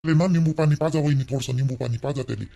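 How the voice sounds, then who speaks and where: A man's voice: a little high, very fast, gravelly, warm tone. A man voices a sensible younger brother in a village cartoon, reassuring and explaining in a Vidarbha Marathi accent. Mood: quietly concerned.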